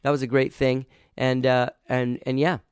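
A man hosting a casual local radio show, hoarse from rehearsing and singing, rambling enthusiastically with lots of fillers and restarts. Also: mid-range voice, little pitch movement, normal speed, darkish tone, no echo, studio quality. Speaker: show host.

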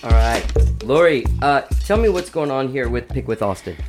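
A man hosting a casual local radio show, hoarse from rehearsing and singing, rambling enthusiastically with lots of fillers and restarts. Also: mid-range voice, lively intonation, normal speed, loud music behind the voice. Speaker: show host.

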